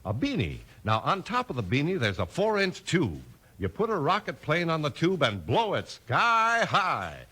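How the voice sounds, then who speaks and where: A man with an American accent and a husky voice, announcing and narrating in scripted 1950s radio style. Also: a little high, huge pitch swings, normal speed, bright, forceful tone.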